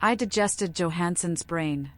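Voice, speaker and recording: A woman's voice: fairly low pitch, a little slow, close to an everyday voice. A woman voicing a playful, cryptic, deranged female vampire in a video game, with an eccentric and whimsical manner. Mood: cryptic, musing. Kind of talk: modded video game voice acting.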